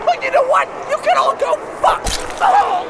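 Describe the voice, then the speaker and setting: A man's voice: extremely high pitch, big pitch swings, normal speed, very echoey, exaggerated voice. Guy doing a panicky defiant captive, voice cracking all over, audio drama, super noisy crowd. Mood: defiant, angry. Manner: frantic, stammering, cursing. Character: panicky, foul-mouthed, defiant human slave captive. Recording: very noisy auction with loud crowd.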